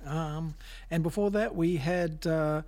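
A man presents a music show on radio. His voice is mellow and slightly high, and he talks in an unscripted, hesitant, low-key way, feeling his way through each link. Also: steady tone, takes it slowly. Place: radio studio.

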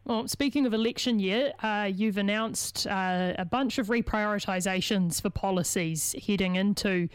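A New woman interviewing a guest on radio, her voice a little worn as she loses it. She asks earnest, slightly halting questions in a dry studio sound, at a middle pitch. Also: clean tone, no room sound. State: losing her voice.

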